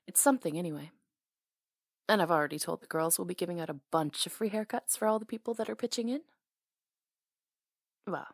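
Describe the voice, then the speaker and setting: A woman's voice: on the low side, no room sound. Playful woman in close, dry ASMR roleplay. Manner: playful, teasing, caring. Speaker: ASMR roleplay performer playing a hair stylist. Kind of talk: intimate ASMR roleplay, casual conversational acting.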